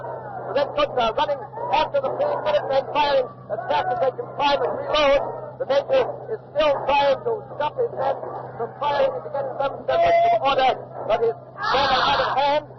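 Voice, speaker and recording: 1940s radio battlefield reporter, very high urgent voice, dull old recording buried in loud crowd noise. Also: male voice. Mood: alarmed, urgent. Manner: urgent, halting eyewitness reporting. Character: urgent male radio war reporter, breathless eyewitness at a battle. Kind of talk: 1940s radio acting, live on-the-spot news commentary.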